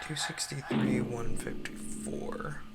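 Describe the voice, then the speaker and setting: A man's voice: mid-range voice, steady tone, takes it slowly. A man livestreaming a game with casual, offhand muttered commentary. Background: old radio show and music playing.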